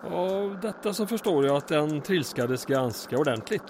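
A man's voice: on the high side, expressive, background noise, lightly acted. A man playing a wry, composed wartime town mayor with a dryly polite manner in a comic audio drama. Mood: dry, unruffled.

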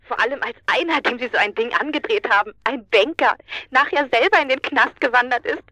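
Gleeful chatty lady, high pitch, bright sharp tone, radio drama. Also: brisk, dry recording. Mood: amused. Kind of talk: naturalistic German radio drama.

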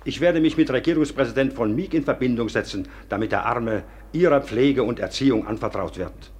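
A man's voice: medium pitch, a little fast, a little mellow, a little hiss or hum, close to an everyday voice. An actor playing a formal, commanding nobleman addressed as Excellency. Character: dignified noble excellency, firm and humane. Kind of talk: radio play acting.

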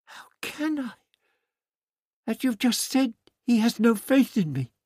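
A man's voice: extremely high pitch, big pitch swings, slow, a little mellow, slight background noise, voice pushed up, full character voice. A man voicing an earnest, anguished woman in an audiobook story, with a pleading, emotional delivery. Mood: doubtful, anxious.

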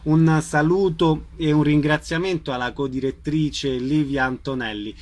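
A man's voice: a little high, fairly even intonation, a little slow, a little mellow. A man speaking as the interviewer on a radio programme.

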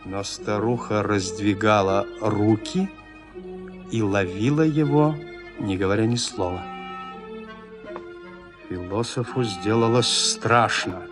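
A man narrating classic literature as an audio drama, with measured, expressive storytelling. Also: lowish voice, music in the background.

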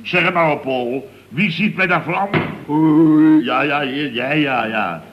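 A man's voice: mid-range voice, measured pace, noisy background, close to an everyday voice. A man playing a flustered, indignant contractor boss in a radio comedy, blustering, sputtering and repeating himself. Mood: exasperated.

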